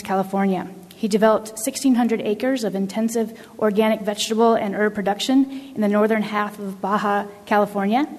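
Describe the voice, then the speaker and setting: A woman's voice: medium pitch, a little mellow, long reverb tail. A woman hosting a workshop, reading a polite, scripted introduction with occasional fillers. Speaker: workshop host introducing a speaker.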